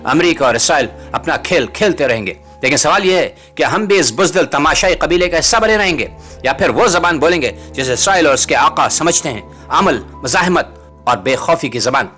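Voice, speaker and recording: A man's voice: on the high side, expressive, moderate pace, pushed and bright. A man reading scripted political commentary as a host, in a rhetorical, bitingly sarcastic manner. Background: music.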